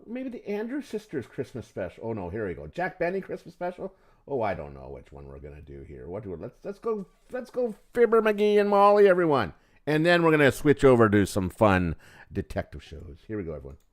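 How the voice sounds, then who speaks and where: Animated man hosting a casual livestream. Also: medium pitch, moderate pace. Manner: chatty, informal, rambling. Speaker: livestream host.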